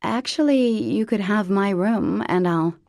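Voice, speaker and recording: A woman's voice: mid-range voice, measured pace, a little mellow, no room sound, voice pushed up, natural voice. A woman voicing a sarcastic young woman in an audiobook, dry and wry. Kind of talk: audiobook character voice.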